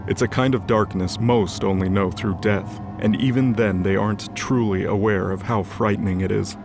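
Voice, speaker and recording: A man reads scripted fantasy narration for an audio drama. His voice is on the low side, and music plays clearly underneath as he tells the story. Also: fairly even intonation, normal speed. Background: music bed.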